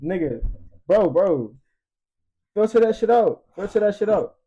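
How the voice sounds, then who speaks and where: A young man around twenty with a mellow, fairly high voice talks in a casual, motivational way. He is emphatic and slangy, swears freely and circles back to pet phrases. The sound is a little dull. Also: lively intonation, unhurried, husky. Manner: emphatic, profane, slangy, preachy, repeats catchphrases. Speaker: podcast panelist.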